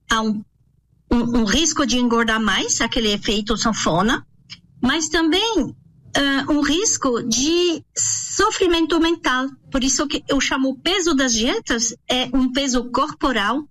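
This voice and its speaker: A woman's voice: on the high side, fairly bright tone, rough recording. A woman from France, a nutrition professional answering interview questions in a thoughtful way, with many fillers and restarts. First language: French.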